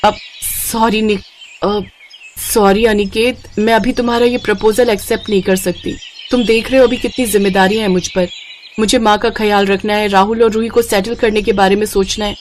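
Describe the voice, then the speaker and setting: A woman voicing a kind, dutiful young doctor in a cartoon story, speaking earnestly and gently. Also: mid-range voice, steady tone, a little fast, slight background noise, natural voice. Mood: hesitant, apologetic. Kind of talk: Hindi cartoon moral story acting.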